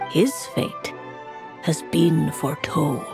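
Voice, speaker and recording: A woman's voice: sits low, slow pace, vocal fry, over music, heavily acted. A woman playing a grave, fatalistic seer witch in a storybook game, with solemn, haughty, archaic phrasing. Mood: cold, sorrowful. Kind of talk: storybook video game voice acting.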